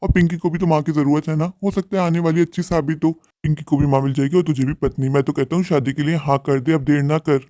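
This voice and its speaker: A man's voice: a little high, steady tone, fast, few pauses, dark tone, no room sound, clean studio sound, lightly acted. A man playing a practical young friend in a Hindi cartoon, giving advice in a familiar, persuasive way. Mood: urging. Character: supportive, advising young male friend, practical and insistent.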